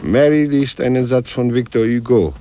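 An actor as a plain, restrained reporting narrator in a 1950s radio drama. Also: male voice, mid-range voice, moderate pace, dark tone, a little hiss or hum, close to an everyday voice. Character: restrained male narrator reporting on a reading woman.

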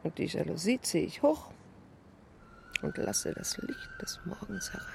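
An actress playing a melancholic woman of fifty in a dramatic radio monologue, clinging to youth yet resigned, with drawn-out, expressive phrasing. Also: on the low side, creaky, in a whisper, exaggerated voice. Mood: weary.

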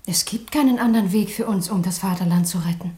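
An actress playing a young Roman princess in a radio play, a solemn, earnest and self-sacrificing daughter. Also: female voice, mid-range voice, fast, mellow, natural voice. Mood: pleading, solemn.

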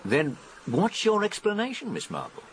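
An actor playing a courteous, deferential upper-class English gentleman in a radio drama. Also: high voice, wildly swooping pitch, moderate pace, rough recording. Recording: clear background noise and ambience.

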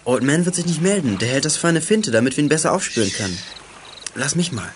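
A man's voice: fairly high pitch, expressive, quick pace, natural voice. Man acting a cool teenage boy detective, noisy recording. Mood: brisk, in charge. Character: teenage boy amateur detective Michael, cool and self-assured. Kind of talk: German children's radio play acting.

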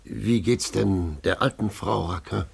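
An actor playing a resigned older gentleman with a gravelly, husky voice in a German radio play. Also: fairly low pitch, measured pace, heavily acted. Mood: subdued. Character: resigned, sighing older family acquaintance.

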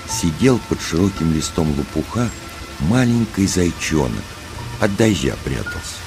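Man, extremely low voice, lively intonation, children's nature-story narrator, expressive, music and rain behind.

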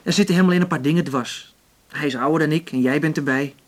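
An actor playing an easygoing young male activist in a naturalistic radio drama, calmly persuasive. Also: on the high side, fast talker, close to an everyday voice. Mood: reflective.

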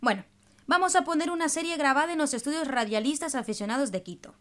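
A woman with a fairly high voice presents on the radio. She explains in a teacherly manner, as if working from a prepared script. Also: fast talker, a bit bright. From El Salvador. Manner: explanatory, didactic. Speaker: radio programme host.

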